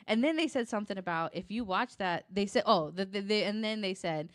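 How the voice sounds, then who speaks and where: A woman's voice: medium pitch, lively intonation, a little fast, no room sound, studio quality. A woman co-hosting a podcast, chatting casually and telling rambling, jokey stories while interrupting herself. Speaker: podcast co-host. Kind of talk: casual chat and rambling storytelling.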